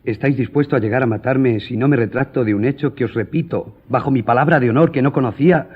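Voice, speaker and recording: An actor playing a proud, composed gentleman journalist in a radio drama, speaking formally and firmly. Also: mid-range voice, slightly rough, phone-quality sound, lightly acted. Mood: firm, offended. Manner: formal, measured, firm. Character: proud, composed male newspaper journalist, duel-ready gentleman.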